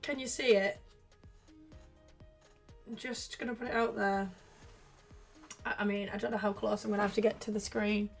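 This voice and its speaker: A woman's voice: medium pitch, flat delivery, moderate pace, vocal fry, long pauses, soft background music. A woman co-hosting a casual gaming chat, giggly and playfully teasing. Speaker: co-host guest.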